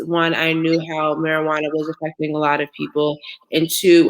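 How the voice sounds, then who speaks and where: Low, flat-voiced dispensary owner. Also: female voice, poor audio. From Brockton, Massachusetts. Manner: measured, explanatory. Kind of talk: panel chat.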